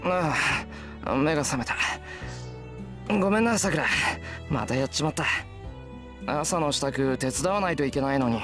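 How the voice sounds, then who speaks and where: A man playing a sleepy, earnest, modest high school boy in a Japanese visual novel, casual and sincere. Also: lively intonation, fast talker, long pauses, music in the background, natural voice. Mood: apologetic, earnest.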